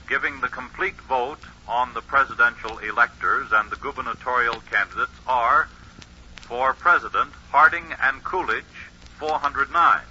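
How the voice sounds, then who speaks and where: An actor playing an eager 1920s radio announcer in a radio drama, relaying bulletins in a formal, emphatic way. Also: male voice, medium pitch, sharp tone, slightly rough, exaggerated voice. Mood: formal, steady. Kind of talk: 1940s radio drama acting, news bulletin delivery. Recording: muffled, noisy vintage 1940s radio recording.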